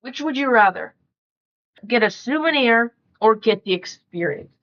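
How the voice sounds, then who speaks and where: A woman's voice: on the high side, expressive, measured pace, fairly bright tone, dull audio. A woman reading out questions and chatting casually on a podcast. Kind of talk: casual question-and-answer chat.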